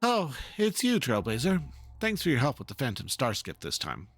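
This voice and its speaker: A man's voice: medium pitch, wildly swooping pitch, normal speed, dry recording. A man voicing a gracious, formal and wistful woman in a dramatic reading of game dialogue. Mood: grateful. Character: gracious, wistful adult woman, a dignified mother.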